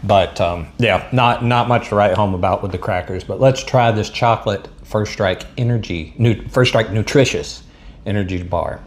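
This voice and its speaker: Southern man for a casual food-review vlog, easygoing and self-deprecating, slightly low voice. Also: moderate pace. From Southern United States. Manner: rambling, chatty, self-deprecating, frequent um and uh. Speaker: MRE taste-test vlogger. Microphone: mic set up at a table.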